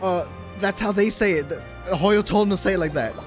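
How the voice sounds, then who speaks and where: A man's voice: sits high, fairly quick. A man livestreaming a game, chatting informally and rambling to his chat in slangy commentary. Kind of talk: casual live commentary to chat. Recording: game music behind the voice. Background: video game music.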